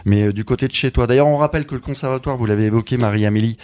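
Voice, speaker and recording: A man's voice: mid-range voice, rapid-fire, a little mellow. A man hosting a local radio interview in a studio, chatty and joking, with plenty of fillers. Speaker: radio host. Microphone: studio mic.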